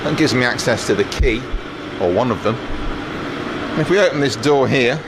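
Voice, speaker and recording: A man's voice: mid-range voice, moderate pace. A man giving a casual technical tour as an engineer, explaining equipment as he operates it in a plain-spoken, matter-of-fact way with dry asides. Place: indoors in a transmitter hall. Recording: clear machinery and air-cooling noise.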